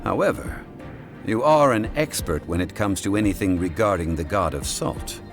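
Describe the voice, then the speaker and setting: Deep, stern, formal scholar. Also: male voice, animated. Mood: calm. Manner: measured, formal, old-fashioned phrasing. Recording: game audio with music behind.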